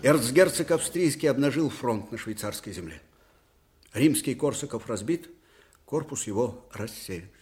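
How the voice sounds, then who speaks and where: A man playing an aging military commander in a theatrical radio drama. He declaims slowly and with weight, his pitch swinging widely from hushed anguish to thundering fire. The voice sits at a middle male pitch. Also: lively intonation, natural voice. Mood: grave, bitter.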